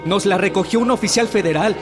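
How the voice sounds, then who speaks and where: An actor playing a dutiful, eager revolutionary officer in a historical radio drama. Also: male voice, high-pitched, a little fast, close to an everyday voice. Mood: earnest, urgent. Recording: music behind the voice.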